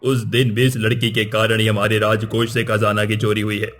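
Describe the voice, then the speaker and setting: A man's voice: on the low side, flat delivery, moderate pace, fairly bright tone, slight background noise, close to an everyday voice. A man playing a stern, dignified middle-aged king and father in a cartoon moral story, speaking formally with authority.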